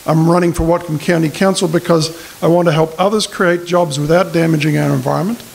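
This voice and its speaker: A man's voice: a little high, fairly even intonation, a little fast, gravelly. A middle-aged man with an Australian accent, a political candidate giving a speech and answering questions at a public forum, speaking plainly into a stand mic in a community hall. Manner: plain-spoken, hesitant restarts when answering questions. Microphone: stand mic at a lectern. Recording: faint hiss in a hall.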